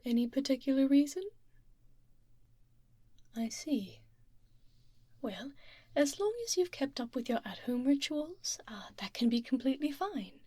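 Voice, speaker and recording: A woman voicing a calm, courteous female doctor in an ASMR roleplay, soft and half-whispered, reassuring and unhurried, close to the microphone. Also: high voice, slightly rough, no echo, exaggerated voice. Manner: unhurried, reassuring, murmuring little acknowledgements. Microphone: close binaural ear microphone.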